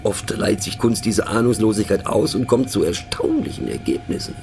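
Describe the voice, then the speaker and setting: A man's voice: on the low side, moderate pace, darkish tone, soft background music, lightly acted. An actor playing a middle-aged male narrator in a radio play monologue, musing and mocking, thoughtful and hesitant, often turning to question the listener. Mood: wry, pondering. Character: musing, mocking male narrator, rambling to fellow train passengers.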